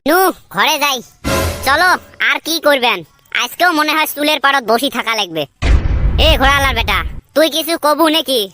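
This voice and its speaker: A man voicing a cheeky, grumbling village lad in a comedy cartoon, teasing in a northern Bengali Rangpur accent through a pitched-up filter. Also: moderate pace, over music. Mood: squabbling. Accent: northern Bengali (Rangpur region). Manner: teasing, colloquial banter.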